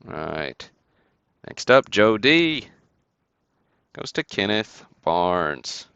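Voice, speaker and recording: A man's voice: lowish voice, big pitch swings, drawn-out words, fairly bright tone, clean tone, no room sound, muffled recording. A man hosting a sports card break livestream, casually calling out cards and buyers and chatting to viewers in an easygoing way.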